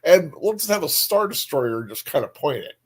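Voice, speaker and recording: Male co-host, slightly rough-edged husky voice, animated intonation. Wry, informal banter. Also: a little high, a little fast. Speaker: co-host of a book discussion stream. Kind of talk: casual chat, joking commentary.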